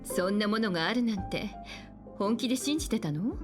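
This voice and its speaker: An actress playing a composed adult woman in a game, a train navigator with a cool, gently sardonic manner. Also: mid-range voice, lively intonation, very fast, faint music behind, natural voice. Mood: teasing. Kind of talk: Japanese game voice acting.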